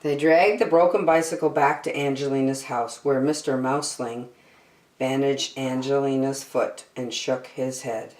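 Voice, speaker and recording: A woman reading a children's story aloud with expressive narration and dramatic phrasing for young listeners, in a roomy-sounding recording. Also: very deep voice, normal speed.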